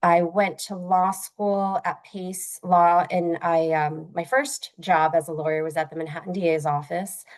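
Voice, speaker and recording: A woman attorney whose first language is Spanish, introducing herself on a panel in a measured way. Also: lowish voice, a little mellow.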